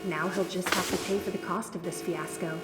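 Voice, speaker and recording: An actress playing a callous, bureaucratic labor-camp guard in a sci-fi audio drama, slow and condescending. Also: female voice, fairly low pitch, fairly even intonation, natural voice. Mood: dismissive. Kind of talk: science fiction audio drama acting. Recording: echoey room with music behind.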